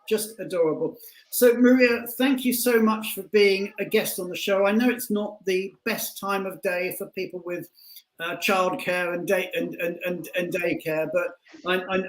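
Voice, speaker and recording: A man hosting a casual online interview, halting and often repeating words mid-sentence as he frames his questions. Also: sits high. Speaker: show host, interviewer. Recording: echoey room on online call.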